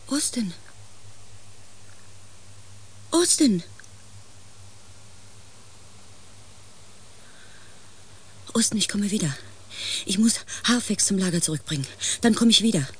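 A woman's voice: mid-range voice, lively intonation, fairly quick, lightly acted. An actress playing a female space pilot, grave and intense, in a science fiction radio play. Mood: pleading. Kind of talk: radio play acting, dramatic first-person narration.

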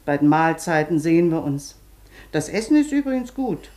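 An actress in a German radio play playing a bossy, talkative long-term patient, blunt and colloquial. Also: female voice, low-pitched, sing-song, moderate pace, dark tone. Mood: chatty, knowing. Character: bossy, chatty long-term woman patient showing newcomer around.

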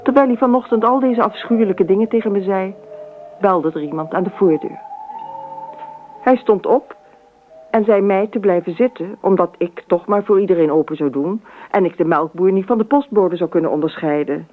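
An actress playing a dreamy, imaginative, talkative wife in a radio drama, with expressive theatrical delivery. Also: female voice, mid-range voice, normal speed, faint music behind, natural voice. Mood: recounting, indignant. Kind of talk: radio drama monologue and dialogue. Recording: tinny, narrow old radio recording.

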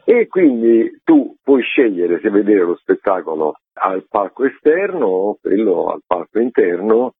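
Low, husky man on a phone-like line. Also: big pitch swings, a little slow, hardly any pauses, dry recording. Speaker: theatre artistic director, interview guest. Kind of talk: spontaneous interview answers. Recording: narrow, tinny phone-like line, dry.

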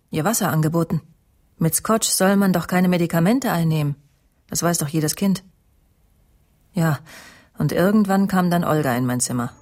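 Cold, husky scheming woman played by an actress. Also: lowish voice, a little mellow, no echo, lightly acted. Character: cold, scheming woman, spiteful friend, narrating her own deeds. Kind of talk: German radio crime drama acting.

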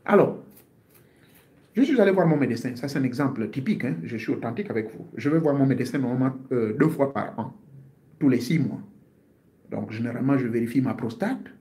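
A man's voice: mid-range voice, animated, fairly quick, dark tone. A man in his fifties, a martial artist, giving health advice to his viewers in an earnest, informal monologue, often repeating himself and searching for words. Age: 50s.

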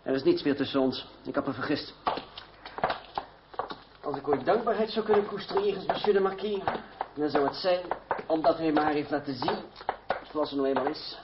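An actor playing a proud, cool young gentleman fencing master in a radio play, speaking formally with measured irony. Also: on the high side, close to an everyday voice. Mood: sardonic. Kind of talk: Dutch radio play acting. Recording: dull, noisy old radio recording.

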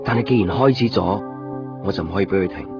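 A man's voice: low voice, fast talker. Lovesick, desperate young man, muffled radio. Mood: resigned, determined. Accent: Hong Kong. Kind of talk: Cantonese radio drama acting with inner monologue. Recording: muffled 1990s radio recording, music behind. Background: music.

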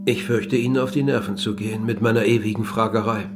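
An actor playing a sober, inquisitive author who narrates a historical radio play in a measured, factual and precise way. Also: male voice, fairly low pitch, steady tone, music in the background, natural voice. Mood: polite, probing.

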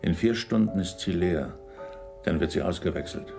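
An actor playing a calm, practical male relative caring for an invalid, reassuring and matter-of-fact, in a German radio crime drama. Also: deep voice, moderate pace, gravelly, music in the background. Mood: reassuring, grave.

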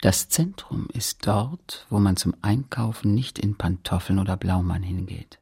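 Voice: deep voice, a little slow, gravelly, heavy background noise. An actor playing a terse, factual narrator of a post-war French town in a literary radio play, with clipped statements. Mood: detached, deliberate.

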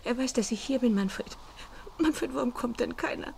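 An actress playing a terrified wife under siege, pleading and stammering in a German radio crime play. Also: female voice, a little high, normal speed, gravelly, a little mellow, a little hiss or hum. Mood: frightened, pleading.

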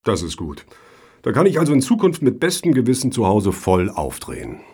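Blunt male panelist for radio satire, played by an actor: husky, very animated intonation, needling. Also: fairly low pitch, a little fast, full character voice. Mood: sardonic.

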